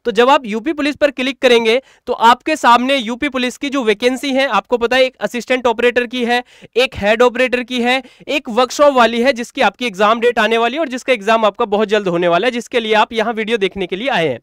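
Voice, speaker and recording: A man with a strikingly high voice walks viewers through a tutorial. His tone is very smooth and clear, recorded close and dry. He instructs step by step, often repeating himself. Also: fairly even intonation, a little fast, fairly bright tone, dry recording. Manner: repetitive, instructional, addresses viewers as friends. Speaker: exam coaching presenter. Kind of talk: screen tutorial, step-by-step explanation.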